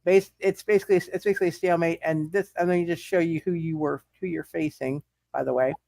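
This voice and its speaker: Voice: mid-range voice, steady tone, fast talker, vocal fry, darkish tone, no room sound. A game master running a live tabletop roleplay, thinking aloud and often repeating words and phrases while narrating and making rulings.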